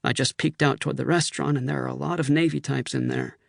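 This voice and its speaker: A man voicing a cautious young Marine captain who speaks in a hushed, hurried way. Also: mid-range voice, gravelly, dry recording, clean studio sound. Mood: worried.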